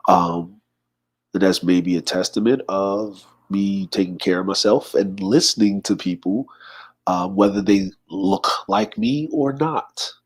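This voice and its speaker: A man of forty talks easily to his livestream audience in a fairly low voice. He reads out comments, greets viewers by name and drifts into his own thoughts, unhurried and relaxed. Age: 40s. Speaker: livestream host. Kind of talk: casual livestream chat.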